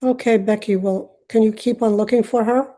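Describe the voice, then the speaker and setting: An older woman born in Uganda, with Swahili as her first language, hosting an online video call and speaking in a reflective, halting way. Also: on the high side, fairly even intonation, dark tone. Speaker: host.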